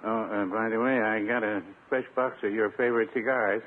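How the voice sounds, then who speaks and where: An actor playing a cautious, henpecked older small-town druggist in 1950s radio comedy, with folksy, hesitant understatement. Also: male voice, on the low side, fairly even intonation, brisk, close to an everyday voice. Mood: dry. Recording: muffled, faintly noisy old radio broadcast.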